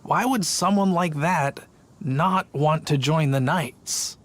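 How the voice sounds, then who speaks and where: Friendly, higher-voiced townsman. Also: slow pace. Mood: helpful. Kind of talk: video game character acting.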